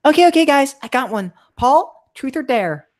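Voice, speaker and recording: A man voicing an excitable, bossy young friend who eagerly goads others in slangy talk, in an amateur drama. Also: very high voice, moderate pace, voice pushed up.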